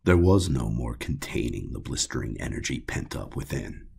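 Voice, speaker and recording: A man narrating a horror audiobook, reading scripted prose in a grave, dramatic and deliberate way. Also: extremely low pitch, expressive, gravelly, a little mellow, husky.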